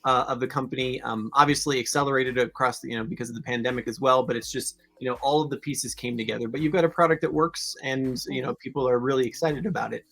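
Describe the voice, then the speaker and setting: A man's voice: mid-range voice, steady tone, fast, vocal fry, hardly any pauses, fairly bright tone. A man hosting an education podcast, reading a scripted intro and then chatting with enthusiasm and frequent restarts. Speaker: podcast host.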